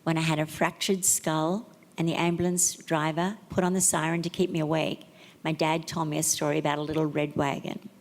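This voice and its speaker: A middle-aged woman with a low voice reads written tributes aloud at a memorial service. She takes it gently and with measured pauses between phrases. The hall adds a long, lingering reverb. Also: flat delivery. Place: memorial service venue, large room.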